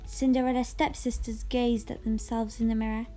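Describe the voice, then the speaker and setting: A woman's voice: fairly high pitch, little pitch movement, moderate pace, over loud music. A very young female reader giving a scripted fairy-tale narration from a storybook. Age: child or very young.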